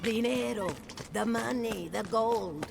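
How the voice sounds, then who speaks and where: A woman's voice: fairly high pitch, slow, creaky, noisy background, exaggerated voice. An actress playing a greedy old woman guide in a video game, with a Spanish accent and a terse, insistent manner. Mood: curt, demanding. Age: older. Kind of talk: video game cutscene acting. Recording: game music behind.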